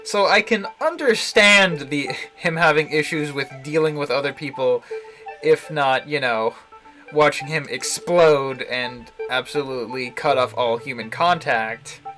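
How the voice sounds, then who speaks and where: A man's voice: a little high, measured pace, low-quality recording. A man streaming a visual novel with casual, amused, wry commentary over the game's music. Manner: amused, wry, frequently chuckling. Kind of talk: casual live game commentary. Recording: music playing behind the voice. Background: visual novel music and Japanese voice acting.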